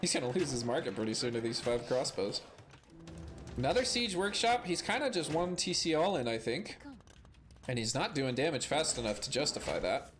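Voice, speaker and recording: A hoarse man with a cold commentates a strategy match in a relaxed, casual way. His steady analysis is broken by sudden excited exclamations, and he clears his throat every so often. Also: medium pitch, normal speed, a little hiss or hum. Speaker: strategy game caster. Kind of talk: casual play-by-play game commentary.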